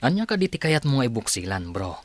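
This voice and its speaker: An actor with a Filipino Ilocano accent playing a teasing friend and confidant in a radio drama. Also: male voice, mid-range voice, very animated, fast talker, no room sound.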